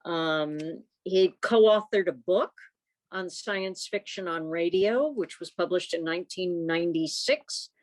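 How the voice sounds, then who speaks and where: A woman co-hosting an online club meeting, speaking informally and haltingly as she handles introductions on a video call. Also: on the low side.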